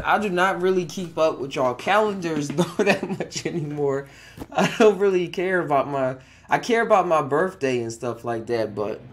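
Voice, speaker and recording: A man's voice: fairly high pitch, slight background noise. A man in his twenties hosting a casual solo podcast, rambling with frequent chuckles and restarted sentences. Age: 20s. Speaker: podcast host.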